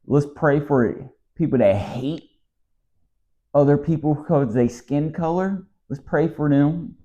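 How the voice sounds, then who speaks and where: A man's voice: fairly high pitch, takes it slowly, mellow. An American man with a Southern accent, ranting in a weary, exasperated way in a casual vlog monologue. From United States. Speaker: vlogger. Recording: slightly dull lo-fi sound.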